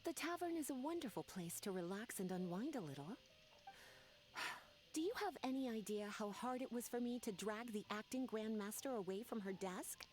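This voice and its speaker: A woman's voice: fairly high pitch, lively intonation, moderate pace, darkish tone, soft background music, lightly acted. A woman playing a flirtatious, teasing, self-assured lady in a video game, coy in manner. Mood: playful complaint. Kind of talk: video game character acting.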